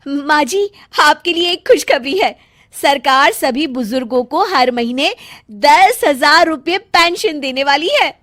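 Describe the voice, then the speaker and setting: A woman's voice: high voice, lively intonation, measured pace, a bit bright, no echo, clean studio sound. A woman voicing a crafty, confident elder daughter-in-law in a family comedy cartoon, coaxing and self-assured.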